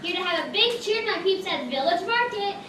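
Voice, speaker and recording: Woman as breezy, very high-voiced slacker student puppet. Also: lively intonation, brisk. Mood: peppy. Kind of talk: community puppet show acting. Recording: echoey hall, far mic, clear noise.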